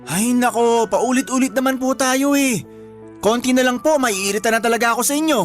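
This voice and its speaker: Whiny, stubborn young son. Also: male voice, very high voice, fairly quick, faint music behind. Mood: whiny, defiant. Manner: whiny, argumentative. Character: sullen, stubborn twenty-year-old son, talking back. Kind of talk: radio drama acting.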